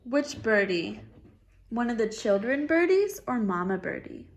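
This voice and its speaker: A woman's voice: a little high, very animated, a little slow. A woman reading a picture book aloud to a child, encouraging and often echoing answers back as questions. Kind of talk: dialogic storybook reading with questions.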